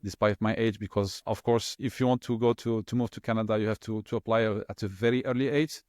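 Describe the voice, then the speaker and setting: A man's voice: on the low side, steady tone, fast, darkish tone, dry recording. Middle-aged guy, french is his first language, chatty interview guest, lots of uhs and restarts, dry close sound. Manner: hesitant, many fillers and restarts. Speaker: interview guest, LNG specialist. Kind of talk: conversational interview.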